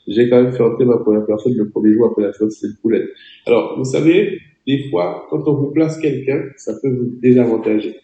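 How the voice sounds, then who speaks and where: A man's voice: mid-range voice, fairly even intonation, brisk, mellow, roomy sound, lo-fi sound. A man with a cold and a French accent, giving an emphatic webinar training over a video call, exhorting his audience directly. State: has a cold, voice a bit off. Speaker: network marketing trainer.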